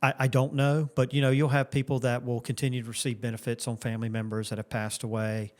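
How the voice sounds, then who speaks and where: A man's voice: medium pitch, level tone, fast talker, darkish tone. A man of fifty-nine from Florida, running for Congress, answers interview questions earnestly and often repeats himself and restarts his sentences. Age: 50s. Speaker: congressional candidate.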